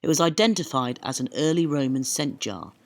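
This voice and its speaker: A man's voice: a little high, lively intonation, brisk. A man telling stories as a travel vlogger and presenter, with a theatrical delivery, recorded on location. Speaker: storyteller and presenter. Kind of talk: storytelling travel vlog, part narration, part talk on location. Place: outdoors in the countryside.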